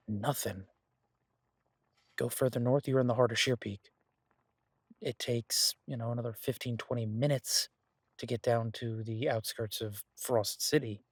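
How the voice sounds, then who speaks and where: An earnest, easygoing boyfriend and chef acted by a man talks casually in an intimate fantasy roleplay. His voice is husky and mid-pitched, reassuring and a little halting, recorded dry with no room sound. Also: no echo. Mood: explaining. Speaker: audio roleplay performer. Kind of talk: intimate one-sided audio roleplay, conversational.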